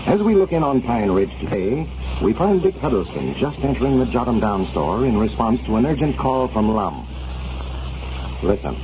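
A man's voice: mid-range voice, sing-song, normal speed. A man narrating the opening of a 1930s radio comedy in formal announcer delivery. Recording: muffled 1930s radio recording with clear hiss.

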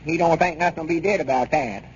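An actor playing an opinionated old country grandpa with a creaky voice and a rural Southern accent, folksy, in 1930s radio comedy style. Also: male voice, fairly high pitch, muffled recording, close to an everyday voice. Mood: matter-of-fact. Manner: folksy, drawn-out reasoning. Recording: noisy, band-limited 1930s radio broadcast.

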